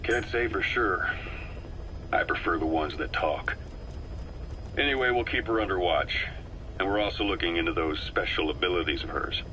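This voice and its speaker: A calculating veteran mercenary officer from a video game, very low and bright-edged in voice. He briefs with measured, wry persuasion, over a faintly noisy tape recording. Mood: persuasive. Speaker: military intelligence officer.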